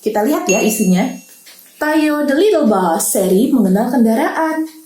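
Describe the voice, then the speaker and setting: A woman reading a children's book aloud in a gentle, teacherly way, stopping to ask the child questions. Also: fairly high pitch, animated, normal speed, a little hiss or hum. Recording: roomy echo.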